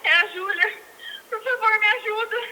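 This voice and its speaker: A woman playing a terrified, breathless caller who pleads in halting, repeated phrases in an analog horror film. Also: extremely high pitch, bright, forceful tone, full character voice. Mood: panicked pleading. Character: terrified, breathless woman calling emergency line, entranced. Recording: echoing, reverberant phone call.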